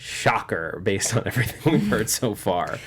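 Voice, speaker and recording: A man's voice: lowish voice, very animated, moderate pace, fairly bright tone, husky. Man hosting a casual movie news panel. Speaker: panel show host.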